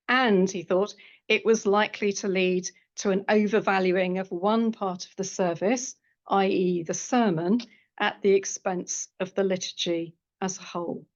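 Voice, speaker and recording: A woman reads an academic paper aloud in a lecture room, keeping to a measured scholarly pace and adding the odd dry aside. Her voice sits at an ordinary middle pitch for a woman. The recording sounds somewhat dull and lo-fi. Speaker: historian giving a seminar paper.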